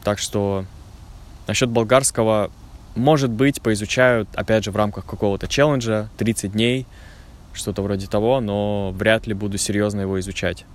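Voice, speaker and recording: A man talking casually to language learners while outdoors in a forest, speaking clearly and unhurriedly. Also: lowish voice, fairly even intonation, moderate pace. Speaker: language teacher vlogger. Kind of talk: casual vlog talk to learners.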